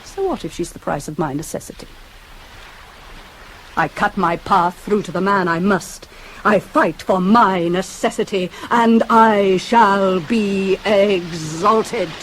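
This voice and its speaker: Slow, solemn vengeful goddess played by a woman. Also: mid-range voice, natural voice, poor audio. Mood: exultant resolve. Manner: solemn, deliberate, declamatory. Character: vengeful proud goddess, coldly plotting a mortal's death. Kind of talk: classical verse radio drama. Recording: hissy 1980s cassette of radio play.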